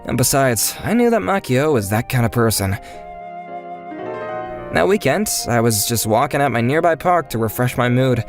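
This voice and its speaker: A man's voice: mid-range voice, big pitch swings, moderate pace, soft background music. A man playing a modest, good-natured young employee, narrating and speaking mildly in a manga dub. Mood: gentle, reflective.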